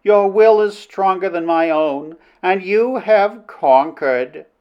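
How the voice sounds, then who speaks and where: Proud storybook princess, played by a male reader: raised pitch, swooping melodrama, archaic formal phrasing, slightly dull recording. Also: slow pace, clear, resonant tone. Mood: yielding. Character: proud commanding princess, stern then helplessly yielding to love. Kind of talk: audiobook character voice in old adventure romance.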